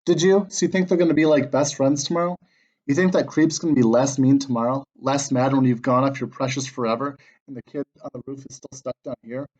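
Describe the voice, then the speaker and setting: A man voicing a sharp-tongued, scornful dog spirit in a read-aloud story, needling with mocking questions. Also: mid-range voice, fast talker, gravelly. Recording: dull lo-fi sound.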